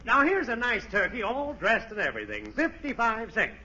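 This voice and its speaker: A man playing a brisk, impatient, wisecracking butcher in a 1940s radio comedy. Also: very high voice, wildly swooping pitch, sharp tone, slightly rough, full character voice. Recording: noisy, dull old radio broadcast recording.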